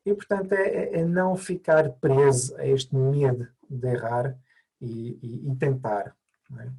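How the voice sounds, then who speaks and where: A man's voice: medium pitch, a little slow, creaky, mellow, husky. A man who learned Portuguese as an adult, by birth, answering interview questions thoughtfully with many hesitations and restarts. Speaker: mediator, interview guest.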